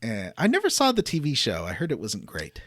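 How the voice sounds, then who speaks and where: A man's voice: medium pitch, huge pitch swings, a little fast, a bit bright, dry recording, studio quality. A man hosting a casual podcast, recapping a video game in a rambling, enthusiastic way. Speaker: podcast host.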